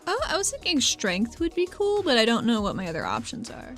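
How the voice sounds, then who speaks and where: A woman playing in a tabletop game, chatting casually and reacting quickly. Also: on the high side, huge pitch swings, faint music behind. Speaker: player.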